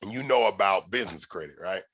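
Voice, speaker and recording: A man hosting a podcast, telling stories in a loose, animated way, with slang and swearing. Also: low-pitched, brisk, husky. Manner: animated, profane storytelling with slang. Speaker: podcast host. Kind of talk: casual podcast conversation.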